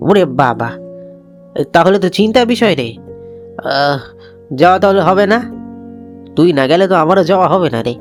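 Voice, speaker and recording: A man's voice: high voice, expressive, normal speed, long pauses, soft background music. A man voicing a bold, coaxing village schoolboy with schemes, in a cartoon story. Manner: coaxing, confident.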